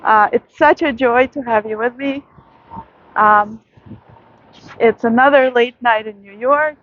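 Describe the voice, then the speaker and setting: A woman vlogger giving a soft, reflective late-night monologue outdoors in a city, with many pauses and fillers. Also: on the high side, narrow, tinny audio.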